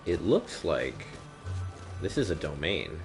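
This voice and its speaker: A man livestreaming a video game with casual, wry, halting commentary, muttering to himself. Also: very deep voice, very animated, gravelly. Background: game music.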